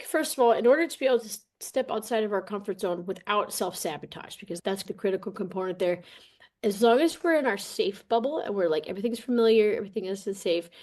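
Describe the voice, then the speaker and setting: Podcast guest: woman, mid-pitched voice, animated and colloquial, chatty coach style. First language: English. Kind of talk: casual interview talk, personal storytelling.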